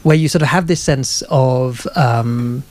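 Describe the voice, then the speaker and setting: A man's voice: medium pitch, measured pace, a little mellow. A man in his thirties conducting a literary interview, asking long, thoughtful questions with hesitant phrasing. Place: small crowded bookshop, live event. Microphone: handheld microphone.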